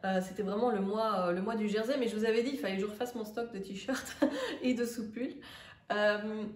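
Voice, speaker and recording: A woman with a stuffy nose from a cold, chatting casually as a sewing vlogger and pausing often with fillers. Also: fairly high pitch, darkish tone. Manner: chatty, hesitant with many fillers. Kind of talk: casual vlog chat. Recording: roomy, distant from the mic.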